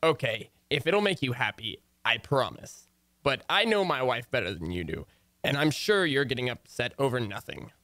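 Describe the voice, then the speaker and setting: A man's voice: medium pitch, sing-song, moderate pace, sharp tone, dry recording, clean studio sound. A man playing an exasperated, sardonic husband who narrates his own marital troubles in an old-time radio comedy. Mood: dry, unconcerned.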